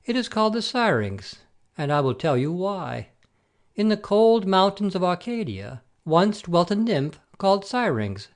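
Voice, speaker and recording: A man plays a clever messenger god in the guise of a simple shepherd. His voice sits a little high and swings widely in pitch, telling things like a storyteller in an audio play. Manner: expressive, storytelling delivery. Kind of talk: amateur audio play reading.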